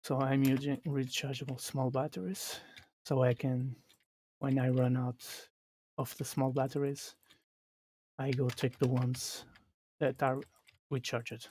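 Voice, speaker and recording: A man from Portugal with a Portuguese accent, explaining his game live in a halting way that restarts phrases. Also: medium pitch, little pitch movement, darkish tone, slight background noise. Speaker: streamer. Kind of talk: live gameplay commentary.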